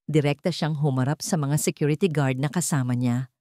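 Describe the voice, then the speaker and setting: A woman's voice: low voice, a little fast, dark tone, dry recording, studio quality. A woman narrator reading a scripted, dramatic story.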